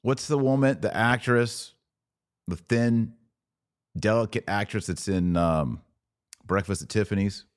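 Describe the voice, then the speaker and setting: A man's voice: on the low side, measured pace, long pauses, dry recording, studio quality. A man with an American accent giving live podcast commentary in a conversational way, posing pointed rhetorical questions. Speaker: podcast host.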